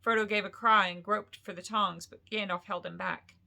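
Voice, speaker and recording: A woman reading a fantasy novel aloud as an expressive storyteller, with deliberate, dramatic phrasing. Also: medium pitch, gravelly.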